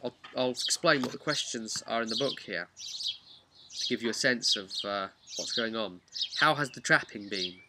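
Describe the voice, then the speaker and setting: A man's voice: medium pitch, normal speed, very noisy. A man speaking informally as a game master, recounting a tabletop campaign with emphatic asides. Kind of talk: vlog storytelling, informal explanation.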